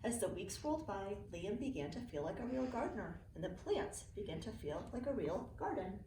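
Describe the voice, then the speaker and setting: Middle-aged female teacher, children's storybook read-aloud, very animated intonation, recorded in a roomy space away from the mic. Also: mid-range voice, fairly quick. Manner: expressive, clearly phrased storytelling for young children. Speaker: early learning teacher reading to students. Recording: roomy, distant mic with faint noise.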